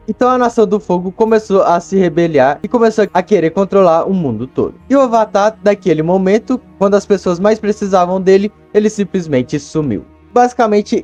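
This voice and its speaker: A man with a Brazilian accent and a slightly high voice talks casually, as in a YouTube video essay. His phrasing is colloquial and full of small tag questions. Music plays faintly behind him. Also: mellow. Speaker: YouTube commentator. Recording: voice over background music. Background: background music.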